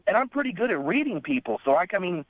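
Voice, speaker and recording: A man's voice: sits high, sing-song, fast, noisy background. A man calling in to a radio show by telephone, casually recounting things in an earnest, slightly hesitant way. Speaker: phone-in caller. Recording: dull, lo-fi phone line.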